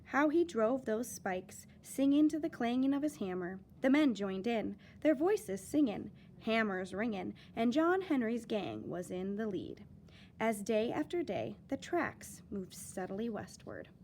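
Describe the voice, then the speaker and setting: Expressive woman reading a picture book. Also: a little high, measured pace, a little hiss or hum. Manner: expressive, lively storytelling with dramatic emphasis. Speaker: teacher reading aloud. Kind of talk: children's picture book read-aloud.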